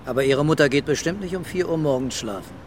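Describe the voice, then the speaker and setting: An actor playing a laconic, patient male police commissioner putting unhurried questions in a radio drama. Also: a little high, a little hiss or hum, natural voice. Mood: patient, questioning.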